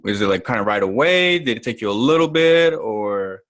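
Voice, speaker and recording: A man's voice: fairly low pitch, expressive, moderate pace, fairly bright tone. A man hosting a podcast, introducing his guest and asking short, joking questions. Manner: friendly, joking asides, short prompts. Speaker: podcast host. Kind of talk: casual podcast interviewing.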